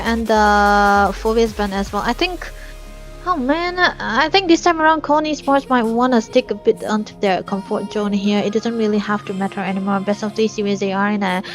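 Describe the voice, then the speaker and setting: A woman's voice: mid-range voice, normal speed, faint music behind. A woman casting a live esports match, breaking down plays and draft picks in detail. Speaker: esports caster.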